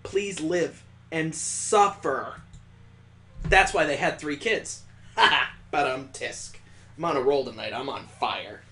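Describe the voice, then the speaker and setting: A man's voice: mid-range voice, animated, normal speed, bright, forceful tone, reverberant, soft background music. A man hosting a gaming let's play, reading a visual novel aloud and breaking in with sarcastic, joking asides. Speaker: gaming video host.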